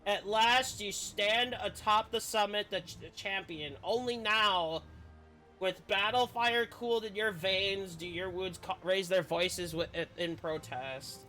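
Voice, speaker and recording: A man with a high-pitched, bright voice plays through a story game for viewers. He reads and comments as a streamer would, with faint game music behind him. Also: measured pace. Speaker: game streamer reading quest dialogue aloud and reacting. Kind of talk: let's-play commentary with read-aloud narration.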